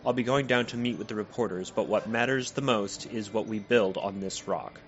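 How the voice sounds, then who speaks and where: Man playing a low-voiced, resolute community leader. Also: moderate pace, faint music behind, close to an everyday voice. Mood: earnest, resolute. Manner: earnest, determined. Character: earnest, resolute male leader of an island community. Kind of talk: audio drama acting. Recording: noisy, dull lo-fi recording.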